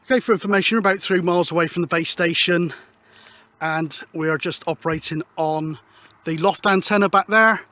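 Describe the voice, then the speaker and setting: High-pitched British man, rambling casually. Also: steady tone, brisk, sharp tone. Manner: hesitant, rambling, informal. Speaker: amateur radio hobbyist presenting antenna tests. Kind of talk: casual explanatory vlog.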